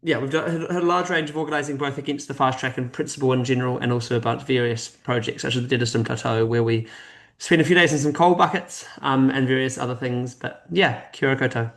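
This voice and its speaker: A man's voice: mid-range voice, steady tone, quick pace. A man working as a climate campaigner, introducing himself on a webinar, hesitant with many ums.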